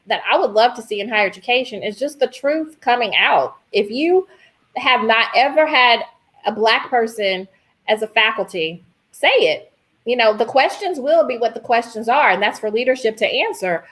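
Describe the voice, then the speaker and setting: A woman speaking as a guest professor in an informal discussion, with a candid, deliberate and emphatic manner. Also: fairly high pitch, expressive.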